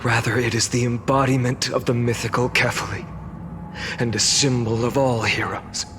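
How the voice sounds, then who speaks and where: An actor playing a weary, defiant young hero in a game cutscene, speaking solemnly and deliberately with scornful resolve. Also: male voice, medium pitch, little pitch movement, noisy background, natural voice. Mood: solemn farewell. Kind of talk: dramatic game cutscene acting.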